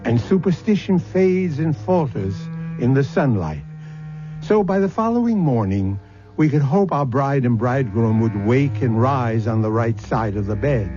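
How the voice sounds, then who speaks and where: Theatrical male radio host, very expressive intonation. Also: medium pitch, music in the background. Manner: theatrical, measured storyteller. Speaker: radio drama host and narrator. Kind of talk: old-time radio scripted narration. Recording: muffled old radio recording.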